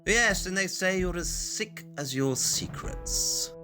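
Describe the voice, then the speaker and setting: An actor playing a cool, provoking detective in an audio play, speaking with precise, erudite confrontation. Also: male voice, high voice, lively intonation, slow pace, over music. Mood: cool, probing.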